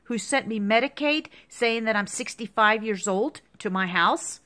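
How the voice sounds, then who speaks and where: A woman's voice: a little high, measured pace, bright, forceful tone. A woman in her fifties in an agitated, repetitive rant to the camera, heavy with profanity. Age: 50s. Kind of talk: angry monologue to camera.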